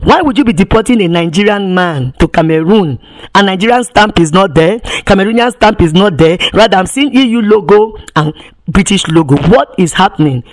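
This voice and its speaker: A woman's voice: mid-range voice, expressive, brisk. A woman activist giving impassioned testimony, emphatic, often repeating and restarting words and firing off rhetorical questions.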